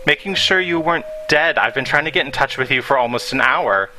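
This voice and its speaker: A man's voice: medium pitch, fairly quick, sharp tone, soft background music. Man reading as a hurt, pointed boyfriend. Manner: clipped, pointed.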